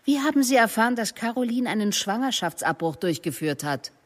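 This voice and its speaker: A woman's voice: fairly high pitch, very animated, a little fast. An actress playing a dogged, skeptical female police investigator in a radio drama, questioning in a probing, pointed way. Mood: confrontational.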